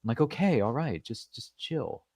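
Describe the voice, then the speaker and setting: A man's voice: medium pitch, animated, normal speed, a little mellow, no echo. A man hosting a podcast interview, chatting casually and rambling with playful asides. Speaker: podcast host.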